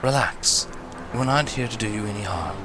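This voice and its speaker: A man voicing a large female alicorn princess who speaks in a calm, formal, reassuring manner. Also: on the low side, normal speed, heavy background noise, natural voice.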